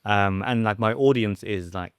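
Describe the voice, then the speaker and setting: A man's voice: fairly low pitch, normal speed, clean tone, dry recording, clean studio sound. A young man, a food creator talking informally as a podcast guest, with a lot of "like" and "um". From West London, born in the Philippines. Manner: informal and rambling, many "like" and "um" fillers. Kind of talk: casual interview chat.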